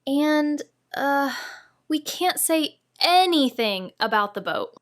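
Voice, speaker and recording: Woman as preteen girl sleuth, the careful one: very high voice, swooping intonation, wry, excitable, podcast drama. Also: slow, dry recording, studio quality, heavily acted. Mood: measured, cautioning. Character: careful, literal-minded, excitable twelve-year-old girl sidekick. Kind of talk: scripted audio drama acting.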